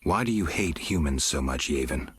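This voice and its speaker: A man playing a stoic, gruff witcher in a video game, speaking in terse, flat, dry lines. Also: extremely low pitch, normal speed, slight background noise. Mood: dry, probing. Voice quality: gravelly, husky. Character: stoic, gruff mutant monster hunter, a grim male witcher. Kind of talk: video game dialogue acting.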